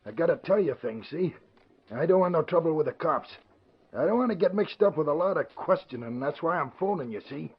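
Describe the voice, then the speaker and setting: Husky, uneasy gym owner. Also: male voice, fairly high pitch, expressive, a little fast. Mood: uneasy, confiding. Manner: slangy, punctuates talk with "see". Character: uneasy working-class male gym owner avoiding trouble. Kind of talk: 1940s radio acting. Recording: thin phone-like old radio sound.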